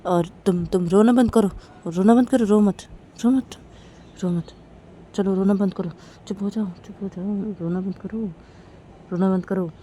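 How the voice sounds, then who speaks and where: Woman acting a mellow-voiced, suspicious, possessive wife. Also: medium pitch, normal speed, a little hiss or hum, voice pushed down, natural voice. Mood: coaxing, suspicious. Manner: coaxing, nagging, repetitive questioning. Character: suspicious, possessive wife, loving but insecure.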